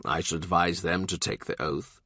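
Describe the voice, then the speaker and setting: Man playing an ironic statesman, quiet and scornful, murmuring low and dry. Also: steady tone, normal speed, no echo. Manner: soft, low, amused murmur.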